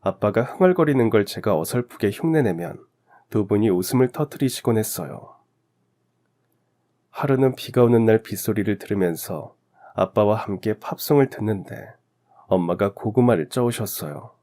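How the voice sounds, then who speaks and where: A man reads a scripted first-person story for radio. His voice sits low and is very dark and mellow, in the manner of a story narrator. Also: animated, moderate pace.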